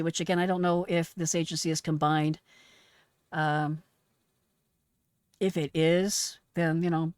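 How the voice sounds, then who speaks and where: A woman with a fairly low voice hosts an analysis of emergency calls. She speaks frankly and instructively, with emphasis, in a dry, close recording. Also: dry recording. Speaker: host, retired emergency dispatcher. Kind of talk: reaction commentary analysing an emergency call.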